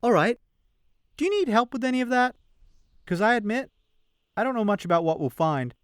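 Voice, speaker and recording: A man's voice: very high-pitched, lively intonation, brisk, smooth, dry recording, clean studio sound. A man playing an easygoing, helpful adventurer in an audio drama, with casual, friendly phrasing. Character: easygoing, helpful male adventurer and camp cook.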